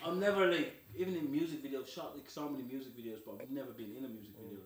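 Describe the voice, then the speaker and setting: A young man, a videographer guest, laid-back and soft-spoken in casual chat. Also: medium pitch, rapid-fire.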